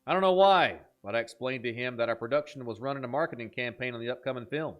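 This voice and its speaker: A man's voice: mid-range voice, quick pace, dull audio. A man hosting a horror reaction video, talking casually and enthusiastically, joking around and reading captions aloud. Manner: animated, jokey, enthusiastic.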